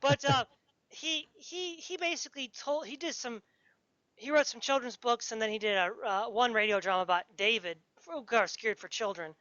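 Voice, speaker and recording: A woman with a fairly high voice chats casually as a podcast interviewer. She is informal and enthusiastic. The sound is very dry, though dull and lo-fi. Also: moderate pace, fairly bright tone, dry recording.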